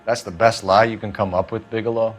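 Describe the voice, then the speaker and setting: Male actor for stern game detective: deep-leaning voice, authoritative, clipped, driven, faint score behind. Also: fairly even intonation, fairly quick, faint music behind, natural voice. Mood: cold, pressing. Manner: commanding, clipped interrogation. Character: stern, driven male police detective, hard-nosed and controlled. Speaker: police detective. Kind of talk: cinematic video game acting.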